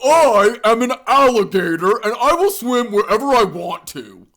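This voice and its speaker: A man's voice: very high voice, lively intonation, moderate pace, fairly bright tone, voice pushed up, full character voice. A man voicing a cocky, sarcastic male alligator in a raised voice. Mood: defiant.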